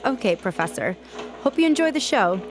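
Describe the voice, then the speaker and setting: A woman's voice: fairly high pitch, very animated, brisk, music in the background. A woman playing a polite, chatty host of a local evening radio show in a radio play. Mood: curious. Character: friendly female local radio host on Halloween night.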